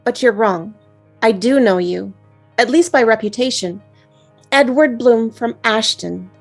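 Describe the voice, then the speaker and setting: A woman's voice: fairly high pitch, a little slow, creaky, soft background music. A woman playing a kind, flustered young college student in a screenplay table read, with a tender, apologetic way of talking. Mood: apologetic, hesitant.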